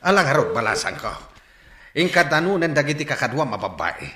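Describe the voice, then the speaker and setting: A protective older village uncle in a radio play. His pitch swings very widely, and the sound is echoey. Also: big pitch swings, brisk. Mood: alarmed, urgent. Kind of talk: radio drama acting. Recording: echoey room.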